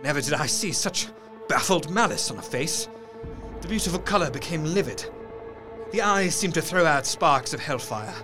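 Earnest male diarist, played by an actor, gothic audio drama narration: formal, intense, fairly high voice, light music underneath. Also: expressive, moderate pace, natural voice. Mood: horrified, intense. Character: earnest man recounting horrors from his journal.

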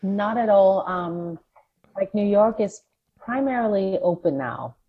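A woman's voice: medium pitch, expressive, a little slow, slightly rough. A middle-aged woman from Denmark, a singer and podcast guest, chatting casually and laughing often between phrases. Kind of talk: casual phone interview. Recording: dull lo-fi phone line with faint hiss.

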